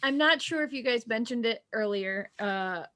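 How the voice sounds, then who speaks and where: A woman's voice: a little high, animated, moderate pace, fairly bright tone. A woman on a podcast chatting casually with friends in an informal, gushing manner. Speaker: podcast co-host. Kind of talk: casual roundtable chat.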